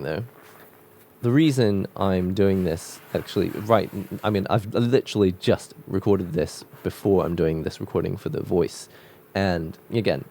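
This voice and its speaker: Croaky, low-voiced man musing while drawing. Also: a little mellow, slight background noise. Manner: musing, loose, with frequent restarts. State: croaky from a cold. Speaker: art vlogger drawing on camera. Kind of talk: casual reflective voice-over while drawing.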